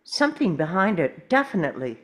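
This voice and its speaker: A woman's voice: lowish voice, sing-song, a little fast. A woman reading stage directions as dramatic narration at a script table read.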